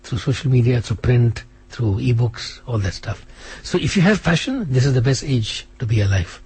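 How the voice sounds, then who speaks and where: A man being interviewed on radio as an author, speaking in a quick, animated way with frequent restarts. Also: mid-range voice, breathy edge. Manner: fast, animated, restarts often. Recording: radio studio.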